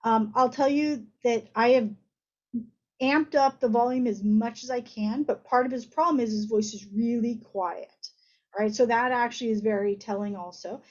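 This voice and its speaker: A woman's voice: on the high side, normal speed, darkish tone. A woman doctor giving an informal medical lecture, explaining things conversationally with everyday analogies over slightly muffled audio.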